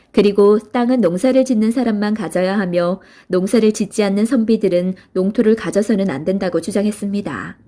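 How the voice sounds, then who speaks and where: A woman's voice: medium pitch, warm tone. A woman narrating a children's storybook in a scripted read-aloud style.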